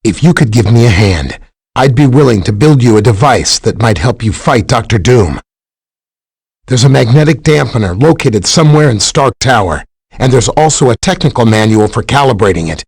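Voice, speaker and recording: Earnest scientist hero for a video game, mid-pitched, slightly husky, friendly explainer. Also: male voice, lively intonation, normal speed, darkish tone. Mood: helpful. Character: helpful, earnest male scientist superhero. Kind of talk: scripted game dialogue, explaining and briefing.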